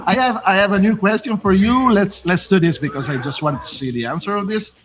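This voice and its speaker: A man's voice: high voice, fairly quick, background noise. A man with an Italian accent hosting a casual livestream, joking and teasing as he reads out audience questions. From Italy. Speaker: livestream host. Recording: music playing behind. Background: music.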